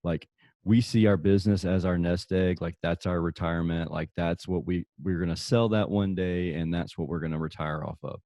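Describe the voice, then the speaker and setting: Low, dark-voiced male podcast host. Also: normal speed, no room sound, clean studio sound. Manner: relaxed, rambling with fillers like "like" and "uh". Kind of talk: conversational interview.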